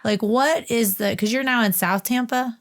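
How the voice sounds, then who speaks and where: A woman's voice: on the high side, normal speed. Bubbly woman podcast host. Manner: bubbly and gushing, eager questions. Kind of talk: casual interview chat.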